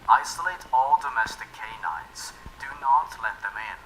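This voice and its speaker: Male narrator doing a whispered robotic emergency alert, flat and unrelenting. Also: mid-range voice, a little slow, slight background noise, poor audio. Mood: ominous, commanding. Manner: flat, whispered official announcements. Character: cold machine emergency-alert voice, relentless.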